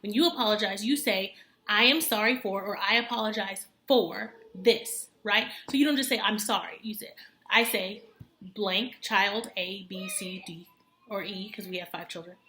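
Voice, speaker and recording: A woman's voice: mid-range voice, moderate pace, pushed and bright, slight background noise. A woman in her thirties talking to camera in an earnest, chatty way, with many fillers and self-corrections. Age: 30s. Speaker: homemaker vlogger. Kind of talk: casual talk to camera. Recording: echoey room.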